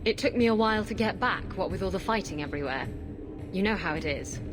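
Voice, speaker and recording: A woman's voice: medium pitch, expressive, fast talker, close to an everyday voice. A woman playing a brash, sardonic pirate thief in a video game cutscene. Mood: wry, self-mocking. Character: brash, roguish adult female pirate thief. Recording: game music behind.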